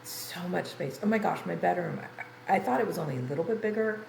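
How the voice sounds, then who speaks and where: A woman's voice: lowish voice, animated, fast talker, vocal fry, darkish tone. An older woman livestreamer chatting casually and ramblingly to her viewers, sounding congested and tired. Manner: rambling, conversational. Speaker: game livestreamer. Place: room in an apartment. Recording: roomy echo, faint background noise.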